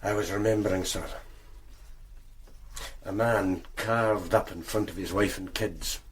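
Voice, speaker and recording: A man playing a veteran detective sergeant in a radio drama, speaking in a grim, low-key way. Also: fairly even intonation, a little slow, a little hiss or hum. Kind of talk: 1990s radio drama acting.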